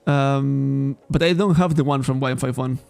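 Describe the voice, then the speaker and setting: A man's voice: mid-range voice, steady tone, moderate pace, gravelly, a little mellow, smooth, faint music behind. A man from Spain, a native Catalan speaker with a Catalan accent in English, chatting casually on a card shop livestream, rambling, correcting himself and laughing often. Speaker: trading card shop streamer.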